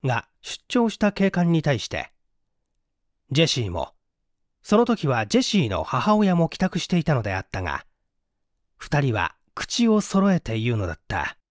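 A man's voice: mid-range voice, huge pitch swings, normal speed, no echo, clean studio sound. A man narrating a mystery story in a formal, theatrical, suspenseful literary reading style.

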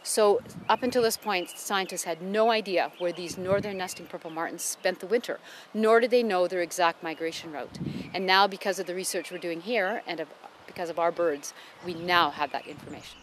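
A woman biologist explaining birds and research outdoors, in a clear, patient way. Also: fairly low pitch, brisk, a little hiss or hum. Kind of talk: explaining on camera. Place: outdoors on a farm.

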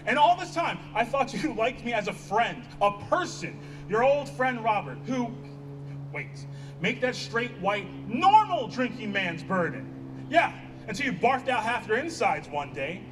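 High-pitched, exasperated man acting on stage. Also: sing-song, unhurried, music in the background, exaggerated voice. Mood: bitter, angry. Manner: emphatic, sarcastic, sharp-tongued. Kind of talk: staged play reading. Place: small outdoor stage by a block wall. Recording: distant outdoor stage pickup, faint ambient noise.

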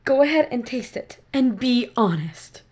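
A woman playing a devoted, excitable mother in an audio drama, expressive and motherly. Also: on the high side, unhurried. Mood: delighted. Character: devoted, excitable mother, an eccentric village baker. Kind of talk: amateur audio drama acting.

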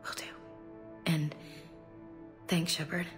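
A woman playing a stoic soldier in a video game, talking in a hushed, restrained, wistful way. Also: sits low, level tone, slow pace, faint music behind. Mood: subdued. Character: subdued soldier, protective big sister, stoic. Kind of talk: cinematic video game dialogue.

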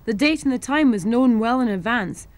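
A woman's voice: on the high side, animated, moderate pace, a little mellow. A Scottish woman presenting in the style of scripted educational television narration.

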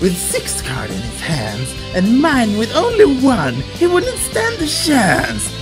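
An amateur voice actor playing a flamboyant, sadistic card-game villain in an anime-style comic dub, drawing out menace and breaking into shouts. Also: mid-range voice, huge pitch swings, over music. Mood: gloating triumph. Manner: theatrical, drawn-out menace with sudden shouts.

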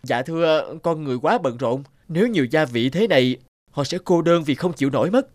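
Man as an eager, high-voiced winged underling god. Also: lively intonation, fairly quick, darkish tone, no echo, clean studio sound, close to an everyday voice. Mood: eager, explaining. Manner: deferential, pleading. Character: timid, eager-to-please winged male love deity, servile underling. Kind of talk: animated fable dialogue.

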